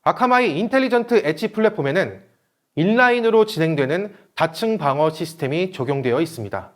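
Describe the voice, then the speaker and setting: A man's voice: fairly high pitch, lively intonation, a little fast. A man giving a scripted technical presentation in a formal, read-out manner, as a seminar presenter.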